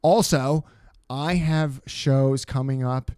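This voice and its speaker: Casting: male podcast guest, comic, average-pitched voice, informal and self-mocking, close dry mic. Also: takes it slowly, a little mellow, clear, resonant tone, dry recording. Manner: self-deprecating, joking. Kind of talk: casual chat. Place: podcast studio.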